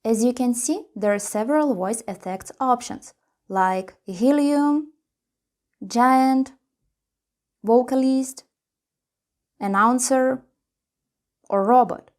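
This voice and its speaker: A woman's voice: fairly high pitch, takes it slowly, pauses often, a little mellow. A woman presenting a tutorial, reading scripted step-by-step instructions.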